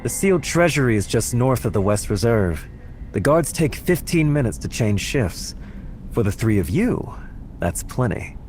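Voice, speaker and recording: An actor playing a cunning, persuasive schemer in a dramatic video game cutscene, with measured phrasing. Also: male voice, fairly low pitch, a little mellow, slight background noise. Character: cunning, smooth-talking male schemer recruiting thieves for a heist.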